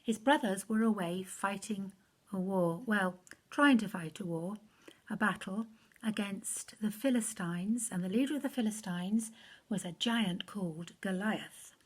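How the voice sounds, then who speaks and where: A woman reads a children's rhyming story aloud. Her voice is mid-pitched and a touch husky, with a slow, expressive rhythm. Phrase endings often sink softly. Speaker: story reader.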